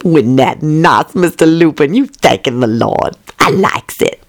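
A woman's voice: deep voice, lively intonation, a little slow, no room sound, clean studio sound. A woman voicing a chatty, doting nanny who talks in a folksy, gossipy way.

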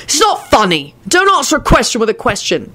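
A woman's voice: fairly high pitch, huge pitch swings, fairly quick, bright, forceful tone, pitched above the performer's own voice, full character voice. A woman voicing a bossy, easily exasperated boy in a storybook, scolding emphatically. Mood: indignant.

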